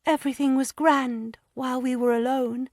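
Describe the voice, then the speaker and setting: A woman voicing an anxious, tearful young wife in an audiobook, pleading and stammering in a high, breathless voice. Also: steady tone, normal speed, a little mellow, no echo, studio quality. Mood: distressed. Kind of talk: audiobook character voice.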